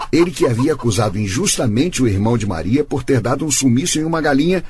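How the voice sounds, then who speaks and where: Man acting a dignified, formal court gentleman. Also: mid-range voice, expressive, noisy background, natural voice. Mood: wry, composed. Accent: Brazilian. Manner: formal, measured. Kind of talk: radio soap opera acting.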